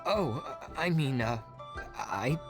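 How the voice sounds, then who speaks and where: A man's voice: medium pitch, lively intonation, takes it slowly. An actor voicing a meek, hesitant male candidate in a video game who stumbles over his words. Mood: flustered. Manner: hesitant, flustered. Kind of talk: game voice acting. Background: game music.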